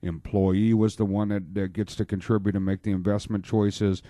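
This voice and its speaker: A man's voice: lowish voice, level tone, moderate pace, mellow, no room sound, clean studio sound. A man hosting a radio talk show about money, chatty and joking, with lots of fillers. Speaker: radio show host, financial planner. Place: radio studio. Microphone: studio microphone.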